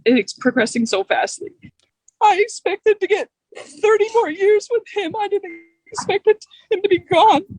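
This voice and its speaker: A woman with an American accent and a husky voice, grieving and emotional as she gives a personal account in a news interview. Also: extremely high pitch, lively intonation, measured pace, darkish tone, no room sound, poor audio. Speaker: bereaved partner interviewed for local news.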